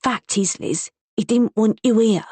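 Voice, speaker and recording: A woman voicing a surly, injured rough man who mumbles gruffly and snaps savagely. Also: on the high side, measured pace, darkish tone, no echo, voice pushed up.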